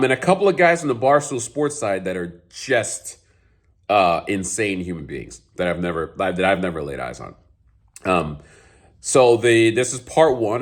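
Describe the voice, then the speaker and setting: Man, 30s, a little scratchy from a cold; casual golf vlogger who rambles with fillers, lowish voice. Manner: rambling, many fillers and restarts. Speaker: golf YouTuber. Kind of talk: casual vlog commentary. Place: home garage.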